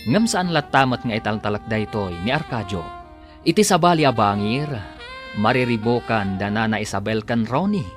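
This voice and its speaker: A man announces a radio drama serial in a theatrical, scripted style. He, and music plays softly behind his voice. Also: lowish voice, expressive, normal speed.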